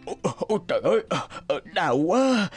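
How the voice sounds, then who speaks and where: A man's voice: sits high, big pitch swings, normal speed, husky, soft background music, heavily acted. A man playing a humble, injured old traveller in a cartoon, speaking hesitantly and apologetically, with groans. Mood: pained, humble. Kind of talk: cartoon dubbing.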